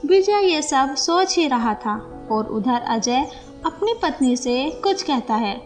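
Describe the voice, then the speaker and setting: A woman's voice: sits high, lively intonation, slow pace, soft background music. A woman narrating a scripted moral story for children.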